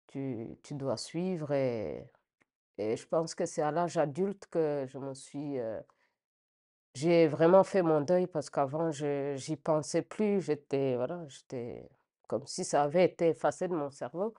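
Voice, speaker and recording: A middle-aged woman from Rwanda giving a spontaneous personal testimony, reflective and halting, often repeating words as she searches for phrasing. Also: low voice, warm tone.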